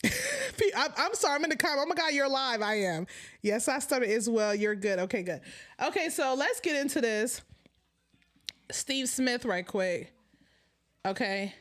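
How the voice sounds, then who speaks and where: High-voiced animated woman host with a stutter. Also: unhurried, pauses often. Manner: animated, laughs often, stutters. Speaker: live-stream host. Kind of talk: casual live-stream chat.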